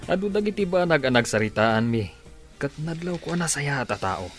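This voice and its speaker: A man playing a friend who gives calm advice, lively voice. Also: on the high side, a little fast, soft background music. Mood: advising. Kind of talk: radio drama acting.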